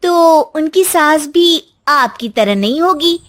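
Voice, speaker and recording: A woman voicing a cheeky, quarrelsome young Indian daughter-in-law with quick comebacks in a cartoon story. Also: high voice, expressive, noisy background. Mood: mocking.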